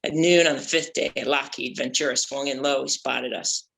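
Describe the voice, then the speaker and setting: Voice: medium pitch, steady tone, moderate pace, gravelly, fairly bright tone, voice pushed down. A performer playing a grizzled male sea captain and shark hunter, boastful and rambling, in a comic musical table read. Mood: grim, reminiscing. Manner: boastful, rambling war-story telling.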